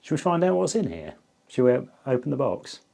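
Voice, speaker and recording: A man in his fifties telling a story casually to camera as a video host, chatty and enthusiastic, pausing for emphasis. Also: medium pitch, very animated, gravelly, mellow. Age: 50s.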